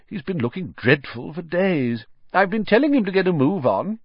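Casting: elderly wizard headmaster played by a male narrator, kindly, measured, widely swooping intonation, dry close recording. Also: high-pitched, sing-song, slightly rough, no echo, muffled recording, voice pushed up, full character voice. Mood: amused, calm. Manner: measured, gentle, unhurried.

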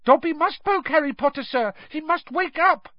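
Squeaky little male house-elf acted by a narrator, shrill high pitch, earnest, urgent, servile, storybook character, slightly muffled recording. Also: normal speed, dry recording, natural voice. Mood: insistent. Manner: speaks of himself in third person, deferential, broken grammar. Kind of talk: audiobook character voice.

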